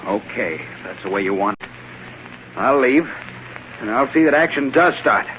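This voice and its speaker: A man's voice: mid-range voice, expressive, moderate pace, natural voice. A man playing an earnest, defiant inspector protesting his treatment in an old radio drama, on a muffled, hissy recording. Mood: indignant, defiant. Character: indignant, defiant male highway inspector standing his ground.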